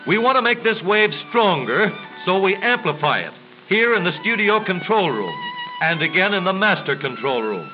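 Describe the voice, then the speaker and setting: High-voiced man narrating a vintage educational film. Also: big pitch swings, over music. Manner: formal, measured explaining. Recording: dull vintage 1930s film soundtrack.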